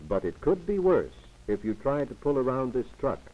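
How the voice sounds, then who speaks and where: Muffled 1940s male narrator, very varied pitch. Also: very animated. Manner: didactic, formal, measured. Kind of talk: 1940s instructional film narration, scripted. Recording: muffled, lo-fi 1940s 16mm film soundtrack.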